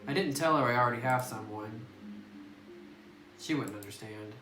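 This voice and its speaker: A man reading the lines of a lonely, reclusive butterfly collector, quiet and subdued. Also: mid-range voice, moderate pace, noisy background, lightly acted. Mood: wistful. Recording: roomy distant phone recording with music.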